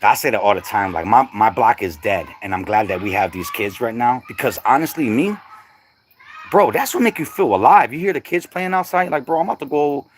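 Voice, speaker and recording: A man's voice: mid-range voice, expressive, brisk, slight background noise. A middle-aged man chatting casually on a livestream, laid-back and slangy, laughing often. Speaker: livestream host.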